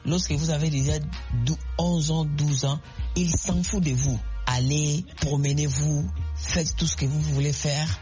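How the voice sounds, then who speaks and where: A man's voice: on the high side, steady tone, unhurried, muffled recording, over music. Impassioned young Congolese man on radio. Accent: Congolese French. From Congo (DRC). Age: young adult. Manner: oratorical, repetitive, rhetorical questions. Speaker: radio call-in contributor. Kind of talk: impassioned opinion on radio call-in.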